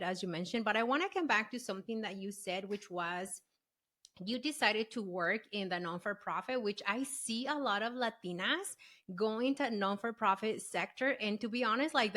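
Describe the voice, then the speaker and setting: A woman's voice: on the high side, fairly bright tone. A woman hosting a podcast, asking questions in a casual, enthusiastic way. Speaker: podcast host. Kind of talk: podcast interview conversation.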